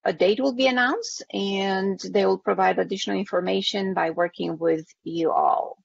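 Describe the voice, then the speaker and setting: Gravelly woman moderator on a video call. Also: mid-range voice, steady tone, normal speed, dry recording. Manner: formal and scripted. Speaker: event moderator. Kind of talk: reading an introduction. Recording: muffled video call audio.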